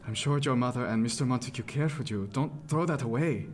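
Actor as an earnest ship's surgeon, noisy ambience. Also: male voice, mid-range voice, close to an everyday voice. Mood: protective, pleading. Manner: formal, earnest, measured period diction. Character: earnest, cautious ship's surgeon, protective gentleman. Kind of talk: period radio drama acting. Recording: clearly noisy, ambient sound behind.

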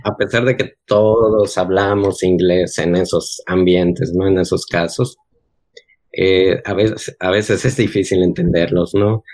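A Mexican man with a Mexican accent and a very deep, low voice talks about his views in an easy, unhurried way. He often rounds off his thoughts with a quick tag asking for agreement. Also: fairly even intonation, a little mellow. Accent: Mexican Spanish. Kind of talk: casual chat.

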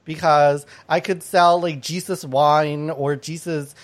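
A woman's voice: deep voice, fairly even intonation, slow pace, background noise. A woman born in Thailand, hosting a podcast and chatting bluntly, laughing often and swearing. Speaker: podcast host. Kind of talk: casual podcast chat.

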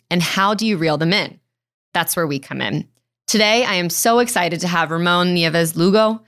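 A young woman hosts an interview podcast with an upbeat, encouraging manner. Her voice sits on the low side, and she fills her guest's pauses with quick affirmations. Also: a bit bright. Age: young adult. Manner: upbeat, frequent listening affirmations. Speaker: podcast host.

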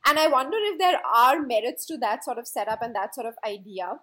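Female host, husky and thoughtful, guides the panel with questions. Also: on the high side, expressive, fairly quick, bright, forceful tone. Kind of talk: moderating a discussion.

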